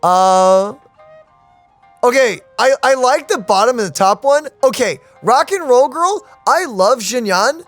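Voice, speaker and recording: A man's voice: extremely high pitch, expressive, measured pace, a bit bright, soft background music. A young man giving casual, jokey live commentary as he reacts to a video game. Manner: jokey, chatty. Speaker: gaming reaction streamer.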